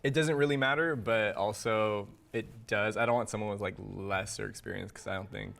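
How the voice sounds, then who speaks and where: A man's voice: on the low side, steady tone, normal speed, gravelly, fairly bright tone. A man in his twenties answering on a dating show, wavering and correcting himself. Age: 20s. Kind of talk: casual answers on camera.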